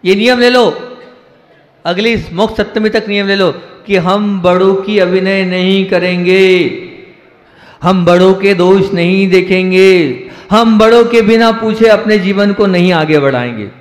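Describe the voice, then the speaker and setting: A male Jain monk delivering a sermon to a gathered audience, asking rhetorical questions and repeating phrases for emphasis, swinging between raised emphatic lines and quiet asides. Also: high voice, measured pace. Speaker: Jain monk giving a discourse. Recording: echoey hall with long reverb.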